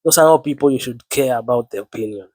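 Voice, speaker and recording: A man with the flu preaching earnestly to his viewers, his voice low and worn from illness, often repeating himself. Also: fast, a little mellow, husky, no echo. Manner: earnest, repeats words, biblical phrasing. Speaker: online preacher. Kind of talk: spoken sermon to camera.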